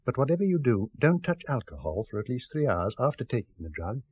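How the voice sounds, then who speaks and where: Persuasive british scientist, 70s radio drama, husky voice that moves around a lot, insistent and precise. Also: male voice, mid-range voice, sing-song, a little fast, no room sound, highs cut off. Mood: instructive, insistent. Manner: articulate, insistent, earnest.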